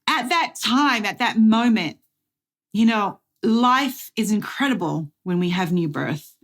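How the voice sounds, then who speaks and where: A middle-aged woman giving a testimony sermon as guest speaker, slow, deliberate and earnest, with dramatic pauses. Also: medium pitch, expressive, a bit bright. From Australia.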